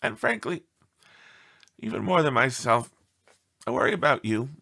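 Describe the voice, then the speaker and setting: Man playing a melodramatic, animated storybook dog. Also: mid-range voice, normal speed, exaggerated voice. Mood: suffering, dramatic. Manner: theatrical, mournful, with breathy whispered sighs. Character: melodramatic, self-pitying pet dog writing plaintive letters home. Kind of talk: storybook read-aloud character.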